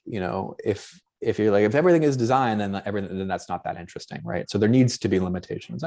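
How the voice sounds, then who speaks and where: A man with a light Boston accent and a fairly low voice speaks on a panel over a video call. He is an anthropologist who thinks aloud and works his ideas out as he goes, in a tentative, searching academic manner. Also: dark tone.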